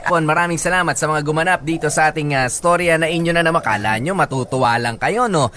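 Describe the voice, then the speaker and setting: A man with a Filipino accent co-hosting a radio show as a DJ, giving casual relationship advice with hesitant pauses and bits of English. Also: on the high side, pushed and bright, slight background noise. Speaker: radio DJ co-host. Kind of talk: casual radio banter and advice on listeners' love stories. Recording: music bed under the studio talk. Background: background music.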